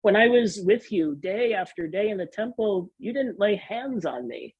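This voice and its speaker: A pastor reads scripture in a solemn, dramatic style, with earnest and deliberate delivery. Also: medium pitch, lively intonation, a little mellow, husky, dry recording, dull audio.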